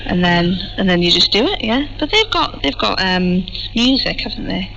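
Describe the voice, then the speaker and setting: A woman's voice: mid-range voice, very animated, normal speed, gravelly, pushed and bright. A woman chats as a guest in a radio interview. She is informal and modest about herself, a folk performer talking casually. The sound is a dull, narrow shortwave capture. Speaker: folk singer being interviewed. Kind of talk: casual chat.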